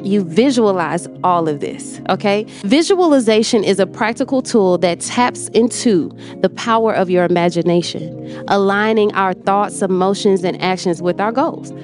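A woman's voice: medium pitch, moderate pace, darkish tone, faint music behind. A woman hosting a motivational livestream, talking affectionately to her viewers, repeating phrases and often checking in with "okay?". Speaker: host.

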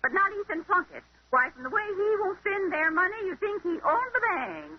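An actress playing a prickly, prudish spinster in a 1930s radio mystery, snappish and gossipy, on an old broadcast recording. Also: female voice, very high voice, very animated, brisk, dull audio. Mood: gossipy, disdainful.

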